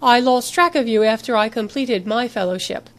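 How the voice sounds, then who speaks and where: A woman's voice: a little high, normal speed, natural voice. A woman voicing a haughty, annoyed female professor in an audiobook story, formal and cutting. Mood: cool, formal.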